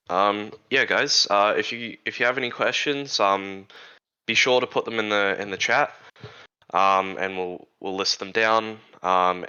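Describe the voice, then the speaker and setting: A man's voice: fairly low pitch, bright, forceful tone, smooth. A man moderating a panel Q&A over a video call, friendly and full of ums as he invites questions. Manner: friendly, filler-heavy. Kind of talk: hosting a panel Q&A. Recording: dull lo-fi audio.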